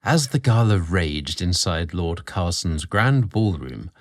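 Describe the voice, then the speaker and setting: A man narrating a scripted romantic story in a measured, expressive storybook manner. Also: low-pitched.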